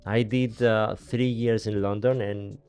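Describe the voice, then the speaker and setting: A man's voice: fairly low pitch, fairly even intonation, a little slow, a little mellow, smooth, soft background music. Greek-accented man hosting a podcast. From Greece. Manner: chatty, laughs often, non-native grammar. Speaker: podcast host. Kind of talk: casual interview chat. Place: small podcast studio with paneled walls. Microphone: studio mic on boom arm, headphones.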